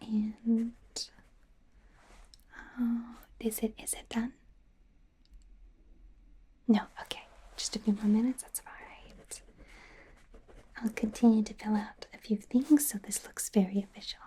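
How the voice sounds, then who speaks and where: A woman playing an awkward, gentle, rambling werewolf doctor in a whispered ASMR medical roleplay, flustered and often correcting herself. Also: a little high, fairly even intonation, slow pace, pauses often, full character voice. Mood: focused, soft. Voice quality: breathy whisper. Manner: flustered, self-correcting, giggly, over-explaining.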